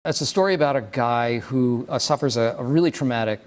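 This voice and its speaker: A man with a mid-pitched voice talks casually as he lays out a story. His delivery is halting, with pauses between phrases as he builds each sentence. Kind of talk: casual talk describing a film story.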